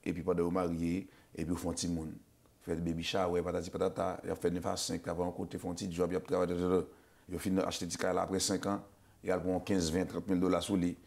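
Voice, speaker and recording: Fast-talking Haitian-accented man. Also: deep voice, completely flat delivery, vocal fry, a little mellow. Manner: fast, repetitive, stammering restarts, mixes Creole and English. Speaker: show host. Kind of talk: casual talk-show chat.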